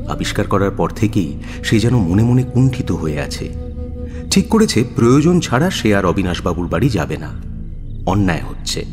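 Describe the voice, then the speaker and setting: A man narrating a literary story in a dramatic audio-book style. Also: fairly low pitch. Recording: long reverb, music behind. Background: music.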